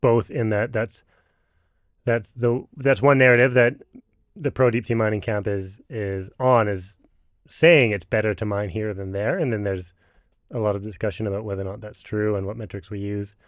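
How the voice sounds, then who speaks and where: A man hosting a podcast interview, talking casually and haltingly, with lots of restarts. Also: fairly low pitch, fairly even intonation, no echo, studio quality. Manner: halting, many fillers and restarts. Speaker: podcast host.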